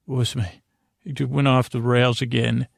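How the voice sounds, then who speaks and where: A man hosting a bedtime podcast in a creaky, lulling voice, meandering haltingly and interrupting himself through a silly monologue. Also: mid-range voice, level tone, dry recording, studio quality. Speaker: host of a bedtime sleep podcast. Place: small closet, close and dry.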